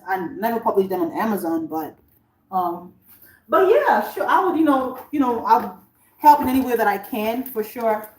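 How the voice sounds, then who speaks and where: A woman's voice: on the high side, slight background noise. Chatty woman cooking in an echoey kitchen. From Nigeria. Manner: chatty, rambling, answers viewers while cooking. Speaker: author hosting a livestream. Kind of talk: casual live-stream chat with viewers. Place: home kitchen. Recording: roomy, distant, running tap water.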